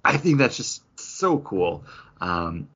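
A man's voice: sits low, very animated, slow pace, fairly bright tone, slightly rough. A man hosting a podcast, chatting casually and enthusiastically about a hobby. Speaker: podcast host. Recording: dull lo-fi audio.

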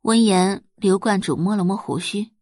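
A woman's voice: medium pitch, moderate pace, a little mellow, no echo, clean studio sound. A woman giving dramatic scripted narration of romance fiction as an audiobook narrator.

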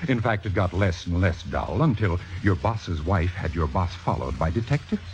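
Low-voiced, cutting, imperious critic. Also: male voice, animated, moderate pace, darkish tone, slight background noise. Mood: cold, menacing. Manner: precise, sardonic, imperious delivery. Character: cynical, commanding male theater critic, coldly possessive and cutting. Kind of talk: 1950s radio drama acting. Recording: dull vintage radio recording, music behind.

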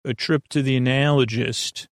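A gravelly man with plenty of vocal fry hosts a sleep podcast in a dry, close sound. He meanders and stammers, doubling back on his words. His delivery is self-deprecating and built for bedtime listening. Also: medium pitch, fairly even intonation, moderate pace, no room sound. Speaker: podcast host. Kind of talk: rambling bedtime podcast monologue.

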